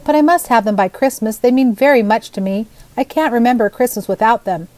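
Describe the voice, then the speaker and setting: A woman's voice: fairly high pitch, fairly quick, a little mellow, dry recording, pitched above the performer's own voice, close to an everyday voice. A woman voicing a polite, earnest widowed mother in a storybook tale.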